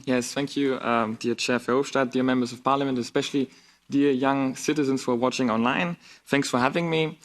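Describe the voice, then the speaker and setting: A man's voice: mid-range voice, steady tone, brisk. A man in his twenties, whose first language is Bulgarian, giving an earnest, emphatic formal speech as a youth delegate in a parliament chamber. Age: 20s.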